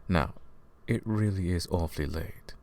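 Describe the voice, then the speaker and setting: A scheming royal advisor played by a man in an intimate fantasy audio drama. His voice is very low, with a gravelly creak in it. He addresses the listener with courtly, theatrical politeness, smooth-tongued and condescending beneath the show of sympathy. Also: normal speed, a little mellow. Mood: condescending consolation.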